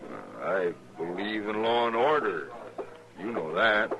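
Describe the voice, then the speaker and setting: Low-voiced, guarded freight boss. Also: male voice, big pitch swings, measured pace, vocal fry, music in the background, exaggerated voice. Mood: defensive, indignant. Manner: guarded, indignant. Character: evasive, smooth frontier freight boss, grown man. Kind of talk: old-time radio western acting. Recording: old radio recording.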